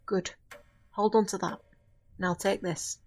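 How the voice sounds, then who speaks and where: A woman acting a centuries-old witch in an audio drama, firm but caring, speaking earnestly in formal, measured phrases. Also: medium pitch, fairly even intonation, darkish tone. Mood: firm, consoling.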